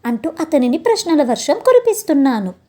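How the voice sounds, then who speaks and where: A woman narrates a romantic tale in the first person. Her voice sits fairly high, with a very mellow, dark-edged tone. She tells it expressively, addressing her listeners directly as a storyteller. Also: sing-song, brisk. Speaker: storyteller, channel host.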